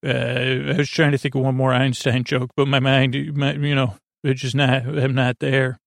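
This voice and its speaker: A man with a creaky voice hosting a sleep podcast in a meandering, hesitant, lulling and self-deprecating monologue full of tangents. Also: mid-range voice, flat delivery, moderate pace, no echo, studio quality. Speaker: podcast host.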